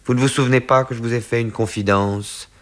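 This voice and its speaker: A man voicing a pompous, self-important paternal gentleman who speaks in a formal, deliberate, grandiloquent way. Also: medium pitch, steady tone, pitched above the performer's own voice, natural voice. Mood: insistent, reminiscing.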